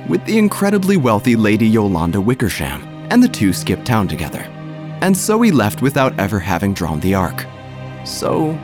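A man playing a droll, gossipy small-town church narrator, telling a scripted comic story with wry, theatrical phrasing. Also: lowish voice. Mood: wry, storytelling. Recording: music under the voice.

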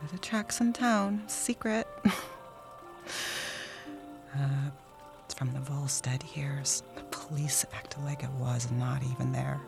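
A woman's voice: very deep voice, slow pace, vocal fry, pauses often, heavily acted. A woman playing a restless young wife who whispers wry confessions into a recorder. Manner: hushed confiding whisper with wry laughs. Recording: music playing under the voice.

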